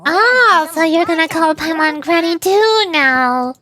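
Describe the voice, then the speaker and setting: A woman voicing an excitable, cheeky small girl companion in a game, exclaiming sassily. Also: very high-pitched, measured pace, exaggerated voice. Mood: sassy. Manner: exclaiming, sassy.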